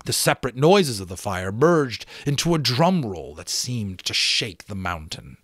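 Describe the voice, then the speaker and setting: A man narrates literary fiction in a dramatic storytelling style. His medium-pitched voice moves up and down expressively, recorded close and dry with no room sound. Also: very animated, measured pace, fairly bright tone, dry recording. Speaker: amateur audiobook narrator.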